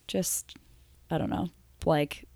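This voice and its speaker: A woman musician talking as a podcast guest in a halting, soft way, full of fillers, while losing her voice. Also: no echo. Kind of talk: casual interview chat.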